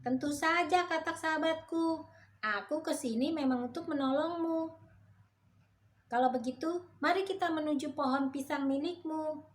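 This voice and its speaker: A woman voicing a greedy, sly male monkey in a children's story, with a shrill, taunting manner. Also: normal speed, pauses often, natural voice. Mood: sly eager.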